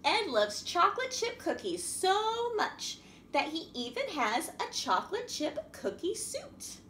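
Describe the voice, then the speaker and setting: A middle-aged woman hosting a children's storytime, reading and explaining in a sing-song, animated manner with simple questions for small children. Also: very high-pitched. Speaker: library storytime host. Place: small indoor room at a wooden table. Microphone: camera a few feet away. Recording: roomy echo, faint background noise.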